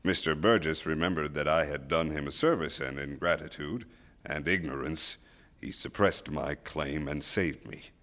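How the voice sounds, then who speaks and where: An actor playing a feverish, penitent old man on his deathbed in a stage radio drama, speaking in halting, anguished declamation. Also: extremely low pitch, a bit bright. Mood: confessing, weary. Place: theatre stage before a live audience.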